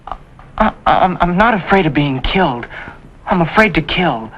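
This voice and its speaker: An actor playing an earnest young American Navy recruit who speaks hesitantly, in 1950s television drama style. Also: male voice, fairly high pitch, lively intonation, normal speed. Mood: troubled, confessional. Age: young adult. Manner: hesitant, repeats himself earnestly. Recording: dull, noisy 1950s television soundtrack.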